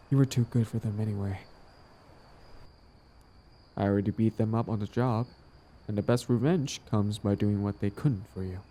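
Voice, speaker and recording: Guy acting as smug villain boyfriend on a date, low relaxed warm voice, smitten, casual intimate roleplay audio. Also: a little hiss or hum, natural voice. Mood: tender, sincere.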